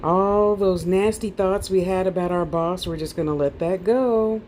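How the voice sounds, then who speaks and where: A woman's voice: lowish voice, unhurried, darkish tone, a little hiss or hum, low-quality recording. A woman leading a guided meditation class with soothing, informal instructions, playful asides and lulling repeated phrases.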